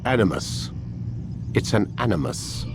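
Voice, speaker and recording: An actor playing a cold, condescending older male scientist in a video game, with a clipped, deliberate and patronizing delivery. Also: lowish voice, sing-song, over music. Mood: smug. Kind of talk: dramatic video game voice acting.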